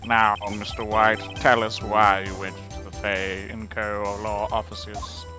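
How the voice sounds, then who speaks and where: Over loud music comes a man acting as a haughty video game prosecutor with a low voice. He is smug and condescending, delivering each formal argument with theatrical polish. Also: little pitch movement, unhurried, close to an everyday voice. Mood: firm, formal. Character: smug, haughty male prosecutor in a courtroom drama. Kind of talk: live amateur game dub.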